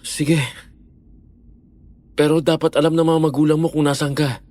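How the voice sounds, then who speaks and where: Higher-pitched, caring young boyfriend, acted by a man. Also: level tone, normal speed, close to an everyday voice. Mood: earnest, reasoning. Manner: earnest, gently reasoning. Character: Fred, caring young boyfriend, patient and reasonable. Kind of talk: Filipino audio horror-story drama acting.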